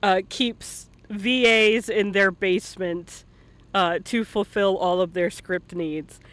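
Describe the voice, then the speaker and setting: A man's voice: sits high, fairly even intonation, slow pace, fairly bright tone, no room sound. A man hosting a casual livestream interview, chatting and telling stories in a playful way. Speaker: interviewer and stream host. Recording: live online stream audio.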